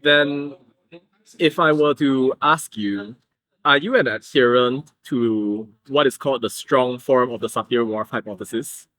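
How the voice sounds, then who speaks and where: A male interview host asks his questions in an upbeat, chatty way, leaning on "like" and quick little reactions. His voice sits at an ordinary pitch. The sound is close and dry, with little room echo. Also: sharp tone. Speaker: podcast host. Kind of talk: casual interview conversation.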